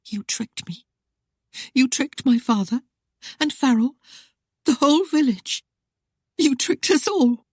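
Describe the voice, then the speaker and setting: A woman voicing a proud young noblewoman, high and emotional. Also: lively intonation, takes it slowly, long pauses, darkish tone, breathy edge, dry recording, clean studio sound, full character voice. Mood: tearful.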